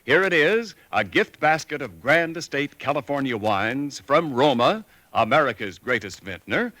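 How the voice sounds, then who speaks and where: A man announcing on a 1940s radio show, with a lively, bright sound. He reads the sponsor pitch in a polished, smooth way. The sound is a dry old radio broadcast under faint music. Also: normal speed, dry recording.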